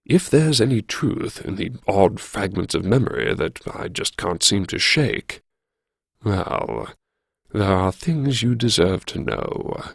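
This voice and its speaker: A man reads dramatic first-person fiction in an unhurried, deliberate way. His voice is creaky and wry, with a brooding manner, and it is recorded very dry and close. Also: on the low side, big pitch swings, no echo. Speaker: audiobook narrator.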